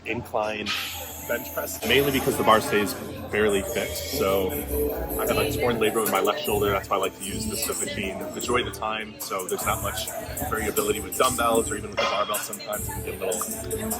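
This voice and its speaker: A man's voice: on the low side, steady tone, a little fast, over loud music. A young man chatting casually in a vlog, laid-back and rambling, a bit congested and nasal. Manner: laid-back, rambling, trailing filler words. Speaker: fitness vlogger.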